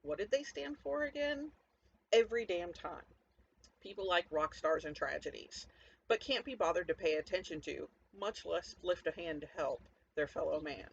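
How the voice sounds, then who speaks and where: A hard-bitten, sardonic survivor of a zombie apocalypse as a story narrator plays the part tells their tale directly to the listener. The delivery is conversational, cynical and defiant, in a middling pitch. The recording is muffled and lo-fi, with faint noise behind the voice. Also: lively intonation, moderate pace, gravelly. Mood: cocky, defiant. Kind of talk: first-person dramatic story narration. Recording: dull lo-fi audio, faint background noise.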